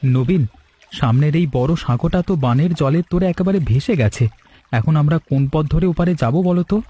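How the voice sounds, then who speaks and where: A man voicing a calm, responsible young village husband in a cartoon story, steady and formal. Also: a little high, fairly quick, lightly acted. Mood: concerned. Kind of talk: Bengali cartoon story dialogue.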